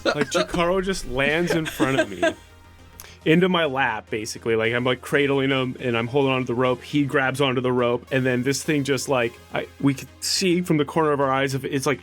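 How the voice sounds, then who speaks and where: A man's voice: on the high side, fairly bright tone. A man playing a tabletop game, thinking aloud in a loose, casual way with plenty of "like".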